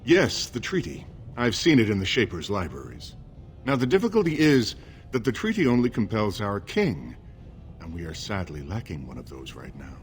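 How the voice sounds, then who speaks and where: A courtly, scheming dwarf advisor in a fantasy game. The voice is very low with lively swings, formal and persuasive. Faint music sits underneath. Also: male voice, moderate pace. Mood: persuasive, grave. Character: smooth-talking male dwarf political advisor, scheming, courtly. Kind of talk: video game character acting.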